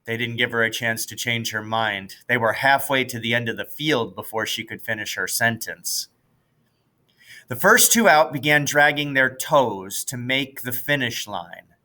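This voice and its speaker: Bright-voiced American man, unhurried narration. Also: medium pitch. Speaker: audiobook reader, teacher. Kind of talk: scripted storybook narration.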